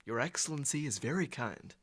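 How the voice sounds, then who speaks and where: Radio drama pilot male actor: medium pitch, animated inflection, dutiful, formal, hesitant underling. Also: a little fast. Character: obedient, deferential male pilot to a tyrant. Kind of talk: old-time radio drama acting.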